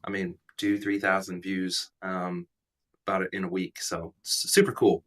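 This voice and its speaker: Guy in his 30s, raspy and stuffy, lowish voice, kinda bright, sounds a bit far from the mic, rambly self-deprecating host. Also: flat delivery, normal speed. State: raspy from allergies, stuffy nose. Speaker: livestream host. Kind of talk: casual livestream chat.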